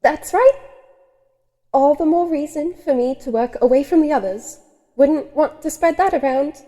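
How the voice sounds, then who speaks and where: A genteel vampire lady out west , played by a woman, high in pitch yet soft-edged and mellow. She is refined and coy, with a predatory streak under proper phrasing, in the manner of audio horror-comedy. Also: normal speed, close to an everyday voice. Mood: falsely sweet.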